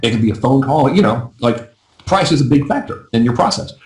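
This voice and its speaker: A man coaching a live webinar in a chatty, self-deprecating way, his voice going a little hoarse. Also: mid-range voice, lively intonation, echoey room. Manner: fast, chatty, self-deprecating, often asks "right?". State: losing his voice. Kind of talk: casual live webinar teaching.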